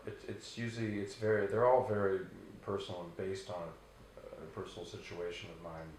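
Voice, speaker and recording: A man who sings in a rock band, with a low bass voice, answering questions in a relaxed, rambling way with many restarts. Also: a little fast, heavy reverb, slight background noise, rough recording. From Canada. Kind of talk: casual interview answers.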